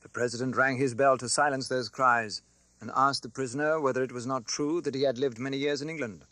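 A man narrating a classic novel as an audiobook, with a theatrical, expressive delivery. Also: medium pitch, a little fast, no room sound.

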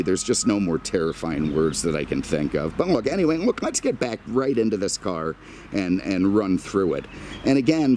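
A middle-aged American man with a fairly low voice that swings around in a lively way. He rambles casually like a car vlogger, wry and self-deprecating, with clear ambient noise around him. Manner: self-deprecating, wry, exasperated humor, mild swearing.

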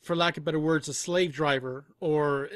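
A man's voice: a little high, fairly even intonation, moderate pace. A man giving conversational interview answers as a guest producer, explaining things at length in rambling detail. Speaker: audio drama producer and composer, guest.

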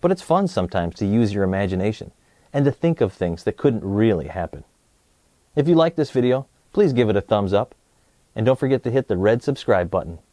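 Animated mellow man reading to kids. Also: on the low side, brisk, dry recording. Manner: expressive, clearly addressing young children. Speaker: host of a children's read-aloud show. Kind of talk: children's storybook read-aloud and presenting.

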